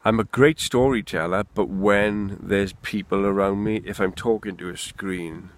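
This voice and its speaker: A man's voice: fairly low pitch, fairly even intonation, measured pace. A Welsh man rambling candidly and swearing freely in an unscripted monologue, recorded outdoors in the wind. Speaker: vlogger.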